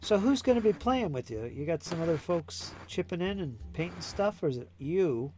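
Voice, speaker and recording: A man's voice: on the high side, big pitch swings, normal speed, a little mellow, slight background noise. A man from Pennsylvania hosting a casual chat, answering in short, easygoing replies.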